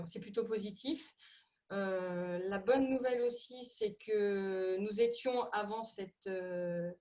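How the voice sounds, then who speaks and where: A woman's voice: mid-range voice, warm tone. A middle-aged woman with a Parisian accent, presenting on a video call and hesitating often with fillers and repeated words. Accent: Parisian French. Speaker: tourism ministry representative.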